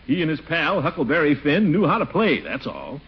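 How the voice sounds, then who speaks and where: An actor playing a pompous, chuckling middle-aged uncle in a 1940s radio comedy. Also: male voice, mid-range voice, breathy edge, full character voice. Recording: dull, noisy 1940s radio recording.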